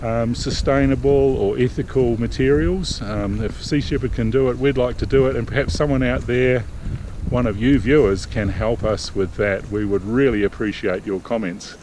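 A middle-aged man talks straight into a camera held at arm's length, in a relaxed, rambling vlog manner. His pitch is ordinary for a man. Faint city hum sits behind him in the open air. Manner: relaxed, chatty, halting with fillers. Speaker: sailing vlog host. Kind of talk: casual vlog talk to camera. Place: outdoors in a paved city square.

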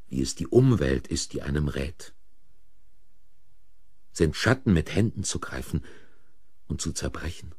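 A deep, heavily rasping man in a radio thriller. He is agitated and troubled, and his delivery swings widely between brooding and urgency. The sound is close and dry. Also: warm tone, no room sound, heavily acted. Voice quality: husky, raspy. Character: agitated male narrator drawn into covering up a killing. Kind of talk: German radio play acting, first-person narration and dialogue.

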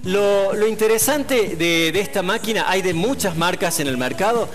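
Argentine man presenting tech, high voice. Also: lively intonation, moderate pace. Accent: Argentine Spanish. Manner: explanatory, addresses panel with questions. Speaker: technology columnist. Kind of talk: live TV morning show segment. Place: TV studio. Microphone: headset. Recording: broadcast studio with background music.

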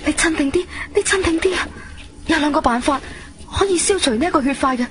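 An actress with a Cantonese accent playing a caring, steady wife in a radio drama, soothing and earnestly urging calm. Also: female voice, high-pitched, fast.

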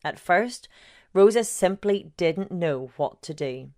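Woman narrator with a low voice, sober and measured: a journalist reading her long-form feature. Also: animated, a little mellow. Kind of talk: scripted narration.

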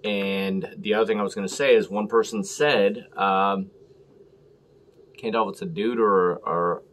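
An American man hosting a casual beer review to camera, talking in halting, clipped short phrases. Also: lowish voice, fairly even intonation, a bit bright. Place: small room at home, indoors.

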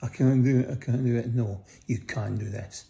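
A middle-aged man with a croaky voice, lying in a hospital bed and casually giving a personal update into a phone held close above him, tired and pausing often. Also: mid-range voice, steady tone, dark tone. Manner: lying in bed, tired, talking slowly with many pauses. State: croaky voice after breathing tubes. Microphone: phone held close above face.

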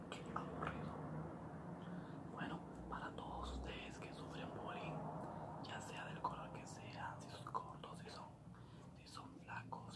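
A man in his thirties whispers close to the microphone in an ASMR roleplay as an advising psychologist, halting and repeating phrases for emphasis. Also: fairly high pitch. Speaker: ASMR video creator playing a psychologist. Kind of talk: whispered Spanish ASMR roleplay, giving advice. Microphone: close condenser microphone on an arm.

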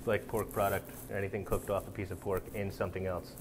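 A man's voice: low voice, little pitch movement, fast talker. A man in his thirties with an American accent, a chef casually explaining dishes in informal, hesitant phrasing. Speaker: chef and restaurant owner. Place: restaurant bar indoors. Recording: noisy room ambience.

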